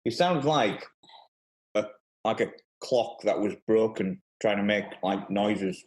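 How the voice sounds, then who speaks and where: A man's voice: lowish voice, slow, poor audio. Man in casual, chatty conversation. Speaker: podcast guest. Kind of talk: casual chat.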